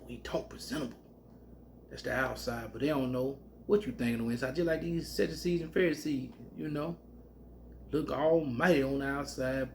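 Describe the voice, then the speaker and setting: A man teaching scripture in a loose, colloquial country way, breaking into loud whoops. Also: mid-range voice, creaky, a little hiss or hum. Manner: animated, bursts into loud whoops; colloquial Southern speech. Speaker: preacher.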